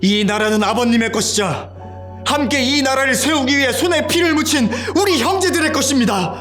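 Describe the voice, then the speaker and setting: An actor playing an ambitious young prince, intense and bitter, in an audio drama. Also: male voice, very high-pitched, fairly quick, fairly bright tone, faint music behind. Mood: defiant. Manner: intense, pleading then defiant.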